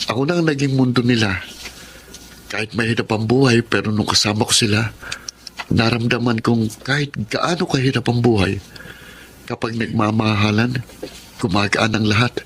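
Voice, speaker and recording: A man's voice: mid-range voice, fairly even intonation, moderate pace, long pauses, fairly bright tone, slight background noise, natural voice. A man playing a devoted, grateful young grandson in a Filipino radio drama. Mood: earnest, reflective.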